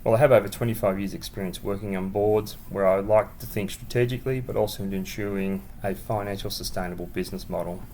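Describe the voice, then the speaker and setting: Low-voiced Australian man, faint background noise. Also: fairly even intonation, quick pace, hardly any pauses, dark tone, low-quality recording. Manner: plain, starts answers with "well". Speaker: new board member. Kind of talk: self-introduction answering questions.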